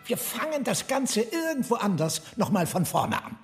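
A man's voice: extremely high pitch, sing-song, normal speed, faint music behind. An actor playing a cynical, eccentric man who philosophizes in a sardonic, declamatory way in a radio drama. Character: cynical, eccentric philosophizing brother, excitable and caustic.

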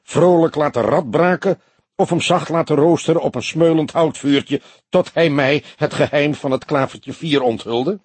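A man voicing a grey-haired veteran police detective in an audiobook, laconic, deliberate and dryly ironic. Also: fairly high pitch, darkish tone, husky, no room sound, pitched above the performer's own voice. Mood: sarcastic. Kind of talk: Dutch audiobook character dialogue.

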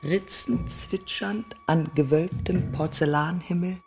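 A performer in an experimental radio play, voicing a weary, brooding figure who murmurs poetic fragments in a creaky, deliberate voice. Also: medium pitch, music in the background. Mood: hushed, deliberate.

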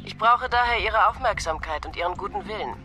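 Composed, persuasive wife, lively tone. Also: female voice, mid-range voice, brisk. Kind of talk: German radio play acting.

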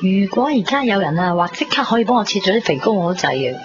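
A woman's voice: medium pitch, very animated, fast, noisy background, natural voice. A woman playing a bubbly, vain, chatty young woman with a Hong Kong accent in a 1990s radio drama. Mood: chatty, eager. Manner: chatty, dramatic, many exclamations and particles. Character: bubbly, vain young woman fretting over party outfit. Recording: muffled 1990s radio broadcast under music.

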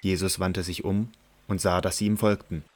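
A man narrates scripture with a very deep voice. His reading is measured and solemn. It is scripted narration for a biblical radio drama. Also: level tone.

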